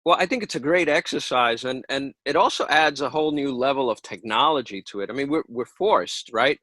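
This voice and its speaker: A man's voice: medium pitch, a little fast, fairly bright tone, dry recording. A man hosting a casual interview, affirming his guest and asking questions in an encouraging way. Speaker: interviewer, host.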